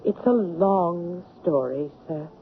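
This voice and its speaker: A woman playing a devoted, resolute young gentlewoman with formal, measured speech in a 1970s radio drama. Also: fairly low pitch. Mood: tender. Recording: tinny, noisy old radio broadcast recording.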